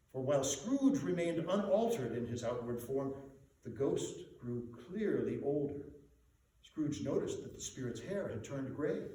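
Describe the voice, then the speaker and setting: A man giving a dramatic literary reading as a storyteller, theatrical and measured. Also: mid-range voice, dark tone. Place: reverberant indoor venue. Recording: echoey room, distant mic, faint noise.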